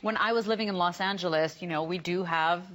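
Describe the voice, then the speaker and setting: A woman's voice: lowish voice, a little fast, a bit bright. A woman hosting a live TV shopping segment, selling in a chatty, personal and approving conversational way. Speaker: home shopping host. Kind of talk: conversational live TV sales pitch.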